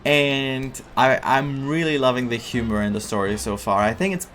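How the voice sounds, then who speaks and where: A man giving casual, excitable let's play commentary as a game streamer. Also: mid-range voice, normal speed, slight background noise. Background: game music.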